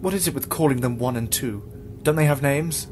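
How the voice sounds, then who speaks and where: A man's voice: medium pitch, fairly quick, close to an everyday voice. Actor as brooding male survivor, heavily echoing. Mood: bitter, challenging. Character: bitter, guilt-ridden male survivor doing dirty work. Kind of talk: dystopian audio drama. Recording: echoey reverberant hall under loud music.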